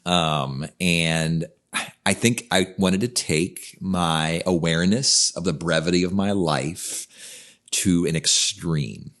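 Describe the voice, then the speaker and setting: A man's voice: low voice, steady tone, takes it slowly, fairly bright tone. A man in his fifties co-hosting a podcast, talking in an earnest, reflective way in casual conversation. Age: 50s. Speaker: podcast co-host, coach.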